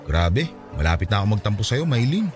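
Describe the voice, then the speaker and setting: A man with a Filipino accent playing a cocky but caring young best friend in a radio drama, bantering and expressive. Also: on the low side, fairly quick, soft background music. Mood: mock-sulky, teasing.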